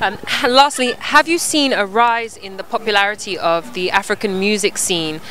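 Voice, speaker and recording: A woman in her twenties interviewing a guest outdoors on a rooftop, asking clear, measured questions into a handheld mic. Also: mid-range voice, sharp tone. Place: outdoor rooftop terrace above a busy street.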